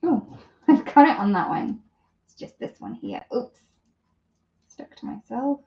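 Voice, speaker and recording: Female craft demonstrator, unhurried and chatty, self-deprecating, talks herself through each step; slightly muffled lo-fi sound. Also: fairly high pitch, lively intonation, vocal fry. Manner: chatty, thinking aloud while working. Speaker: host of a live papercraft demonstration. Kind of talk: casual live craft tutorial chat.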